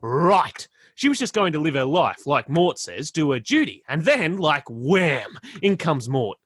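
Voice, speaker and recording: Man acting a rambling, laid-back young stoner, animated pitch. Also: breathy edge, no room sound, studio quality, full character voice. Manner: rambling, hesitant, slangy. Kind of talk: radio play acting.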